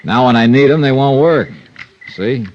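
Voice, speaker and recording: An actor playing a confident, wry American insurance investigator in a 1950s radio detective drama, smooth and persistent. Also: male voice, medium pitch, moderate pace, darkish tone, a little hiss or hum, lightly acted. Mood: casual, needling. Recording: dull old radio recording.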